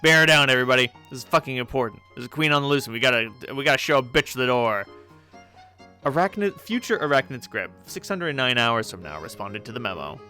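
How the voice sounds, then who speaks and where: A man's voice: mid-range voice, lively intonation, fairly quick, pushed and bright, soft background music. A man voicing a grumpy, bossy teenage male alien troll who rants and swears in exasperation. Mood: exasperated.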